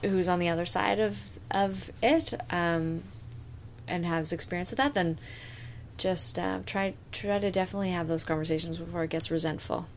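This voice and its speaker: A woman's voice: fairly low pitch, moderate pace, a little hiss or hum. A woman co-hosting a casual podcast, talking in a hesitant way with many ums and restarts. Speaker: podcast co-host.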